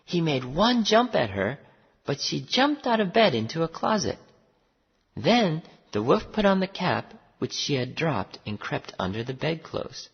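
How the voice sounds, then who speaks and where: An expressive storyteller reads a fairy tale with deliberate phrasing. The pitch swings widely and the voice is husky and creaky, in a muffled recording with long reverb. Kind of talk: scripted fairy-tale narration for English learners.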